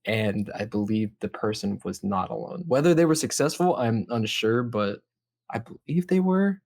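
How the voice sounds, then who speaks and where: A man's voice: on the low side, expressive, normal speed, darkish tone. A man in a casual chat as a host, explaining things in a hesitant, rambling way. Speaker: podcast host explaining a horror series. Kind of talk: casual chat, reacting to videos.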